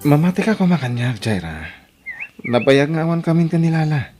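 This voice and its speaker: A man's voice: a little high, big pitch swings, brisk, over loud music. A man with an Ilocano accent acting an emotional, earnest married man in an audio drama. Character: pleading, emotional married man in a radio melodrama.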